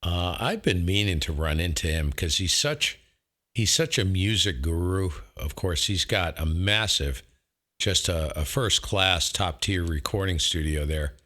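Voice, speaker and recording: A man with a deep voice and a bright, forceful edge chats casually as a ham radio operator. He talks enthusiastically and leans on his points with emphasis. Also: unhurried. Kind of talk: casual chat over radio.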